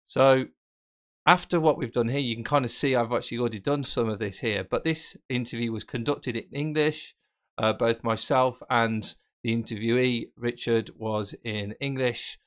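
A man presenting a screen-recorded software tutorial, explaining casually and thinking aloud with fillers. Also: medium pitch, moderate pace. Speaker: tech presenter.

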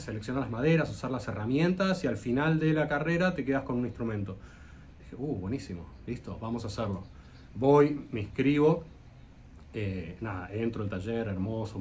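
An Argentine man, a luthier, recounts his path at length over a video call, leaning on hesitant fillers as he goes. His voice sits at an ordinary middle pitch. A faint hiss runs beneath it. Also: a little mellow. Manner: hesitant, frequent "eh" fillers, rambling anecdotes. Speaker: luthier guest. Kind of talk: casual interview storytelling.

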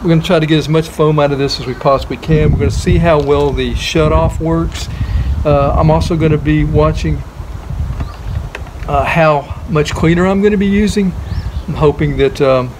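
A middle-aged man giving a relaxed how-to demonstration in a driveway, thinking aloud with many ums and uhs. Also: fairly high pitch, normal speed. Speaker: DIY car-washing vlogger. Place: outdoor driveway by a house. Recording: outdoor recording with running water noise. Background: hose spray and outdoor ambience.

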